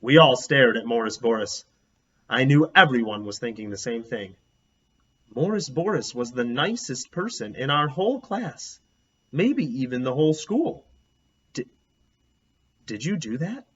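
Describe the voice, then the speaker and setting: A man reading a children's book aloud as an expressive storyteller. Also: mid-range voice, normal speed, husky, muffled recording.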